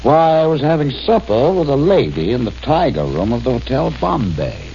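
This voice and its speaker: A man's voice: medium pitch, very animated, normal speed, mellow. A suave, arrogant crook whose voice rises and falls dramatically. He sounds haughty and unbothered. It plays back through dull vintage radio with a faint hiss. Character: smooth, arrogant male crook. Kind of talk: 1940s radio acting.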